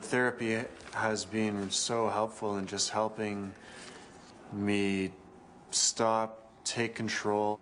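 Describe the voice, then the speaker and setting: A man speaking slowly and deliberately in an interview, pausing between words. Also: fairly low pitch, little pitch movement, fairly bright tone, slight background noise, poor audio.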